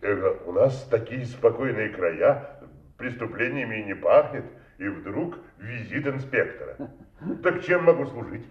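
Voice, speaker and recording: An actor playing a genteel, evasive wealthy gentleman under suspicion in an audio drama, urbane and ironic in manner. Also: medium pitch, animated, measured pace, reverberant, distant mic, muffled recording, close to an everyday voice. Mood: urbane, welcoming.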